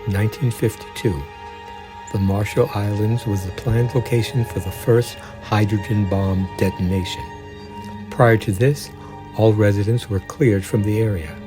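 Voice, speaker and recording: A man's voice: fairly low pitch, fairly even intonation, measured pace. A man reading scripted documentary narration of true stories. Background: music.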